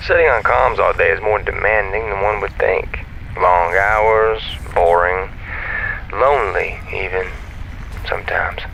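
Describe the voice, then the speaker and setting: Folksy, gravelly spaceship radio man. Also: low voice, steady tone, unhurried, bright, forceful tone, a little hiss or hum, exaggerated voice, poor audio. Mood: casual, lonely. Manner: folksy, easygoing, playful. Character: friendly, chatty, self-assured male space comms operator. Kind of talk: naturalistic sci-fi audio drama dialogue.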